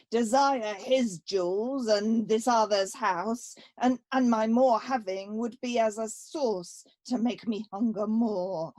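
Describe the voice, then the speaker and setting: Radio Shakespeare: young exiled prince female actor, medium pitch, unhurried and weighty, grave and self-accusing. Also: a little mellow, natural voice. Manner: deliberate, dramatic verse delivery with weighty pauses. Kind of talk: radio play Shakespeare acting.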